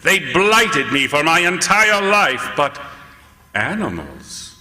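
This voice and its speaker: An actor playing a mock-polite, menacing mad scientist who hates humanity, in old-time radio horror style. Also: male voice, on the high side, wildly swooping pitch, takes it slowly, bright, forceful tone, long reverb tail, heavily acted. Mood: crazed, doting. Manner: mock-polite, sinister, theatrical.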